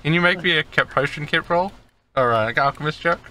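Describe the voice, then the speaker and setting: Bright-voiced man running a game. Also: on the high side, slight background noise. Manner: halting, many fillers. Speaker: game master. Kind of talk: narrating a tabletop game.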